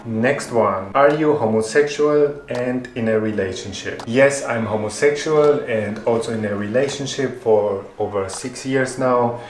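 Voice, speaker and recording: 20-something guy, native spanish speaker, mid-pitched, reads out each q then answers nice and even, a bit of room echo. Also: unhurried, few pauses. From Germany. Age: 20s. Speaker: fashion YouTuber. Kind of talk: Q&A vlog narration.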